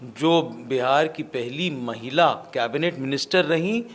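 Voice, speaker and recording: A man's voice: mid-range voice, unhurried, a bit bright. A man from India answering interview questions as a political candidate, speaking earnestly with fillers and restarts. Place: his family home.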